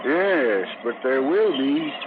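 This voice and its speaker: A man's voice: medium pitch, animated, slow. An actor playing a folksy American local man with a knowing, insistent, ominous manner in an old radio drama. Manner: plain-spoken, folksy, insistent. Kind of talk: 1940s-50s American radio acting. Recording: noisy, muffled old radio recording.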